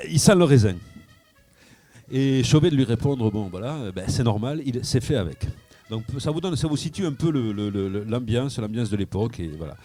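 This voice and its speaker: A man's voice: mid-range voice, expressive, moderate pace, mellow, soft background music. A man telling a wine anecdote on live radio from a wine shop, with frequent hesitations and repeated words. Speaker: wine columnist. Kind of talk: radio wine chronicle, storytelling.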